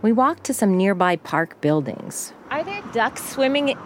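A woman radio reporter narrating a feature and questioning people outdoors in a measured, probing way. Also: fairly high pitch, very animated, slight background noise. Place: outdoors by a flooded park, traffic nearby.

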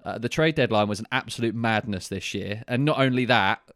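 A man's voice: on the low side, a little fast, a bit bright, dry recording. Chatty Southern English man, dry sound. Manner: chatty, rambling with many "uh" fillers, laughs easily. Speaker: podcast co-host. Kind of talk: casual sports podcast chat. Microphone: video call.

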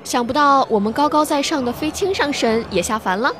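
A woman's voice: sits high, lively intonation, brisk, over music. A woman playing a playful immortal in a fantasy audio drama, arch and teasing with mock reverence.

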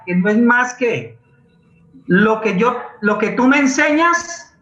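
A Colombian man with a high, forceful voice talks in an earnest, emphatic way, repeating phrases to drive them home. He sounds like a radio forum guest. The recording is dull and roomy. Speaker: playwright and community theatre leader, interview guest. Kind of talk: impassioned conversational talk in a radio forum.

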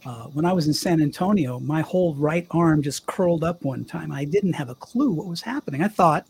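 An older man, a former radio DJ, telling stories in a chatty, animated way with a young-sounding voice. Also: on the high side, a little fast, creaky, slight background noise. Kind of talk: casual storytelling interview.

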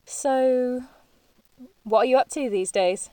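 A woman's voice: high-pitched, slow pace, darkish tone, clean tone. A woman with an English accent playing a defiant, sardonic young woman in an audio drama, blunt and dry in manner. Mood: awkward, guarded. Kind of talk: naturalistic audio drama acting.

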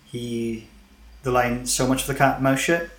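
A man's voice: medium pitch, level tone, normal speed, reverberant. Laid-back man sharing film trivia. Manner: laid-back, many "yeah" backchannels, shares film trivia. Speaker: podcast co-host. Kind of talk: casual film discussion.

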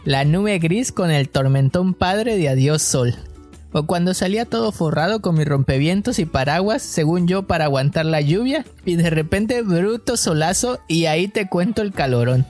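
A man with a Mexican coastal accent co-hosts a casual podcast. He tells stories in a relaxed, slangy way. Faint music plays behind him. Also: a little high, moderate pace. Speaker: podcast co-host.

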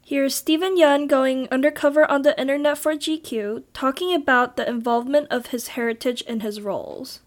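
High-voiced woman narrating a video essay. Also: moderate pace, hardly any pauses. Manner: steady, read-aloud delivery.

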